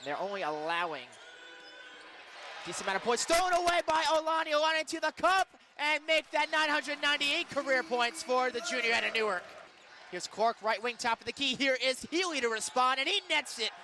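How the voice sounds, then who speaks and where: A man calling basketball play-by-play on the radio, with excitable, punchy calls. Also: extremely high pitch, fairly quick. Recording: arena crowd and court noise behind. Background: basketball game in an arena.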